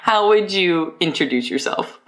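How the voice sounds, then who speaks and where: A woman with a low-pitched voice hosts a podcast interview. She talks informally and conversationally, as in a casual chat. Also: expressive, normal speed. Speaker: podcast host.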